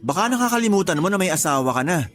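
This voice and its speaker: Defensive, stubborn bachelor, music behind. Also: male voice, high-pitched, very animated, rapid-fire, a bit bright. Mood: annoyed. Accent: Filipino. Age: 30s. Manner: defensive, terse, irritated replies. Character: stubborn, principled 31-year-old bachelor, homebody son. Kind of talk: radio drama dialogue.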